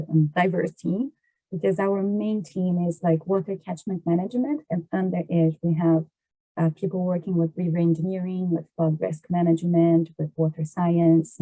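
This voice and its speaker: Very mellow, dark-voiced Brazilian woman. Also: on the low side, fairly even intonation, moderate pace, no room sound, low-quality recording. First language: Portuguese. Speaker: podcast guest, water resources engineer. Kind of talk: explanatory interview talk.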